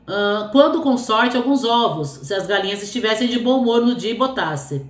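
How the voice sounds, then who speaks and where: A woman with a cold and a hoarse voice, hosting a storytelling channel and reading a listener's life story aloud between chatty asides full of little fillers. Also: a little high, expressive, moderate pace. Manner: chatty, fills speech with "né" and "assim". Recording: echoey room.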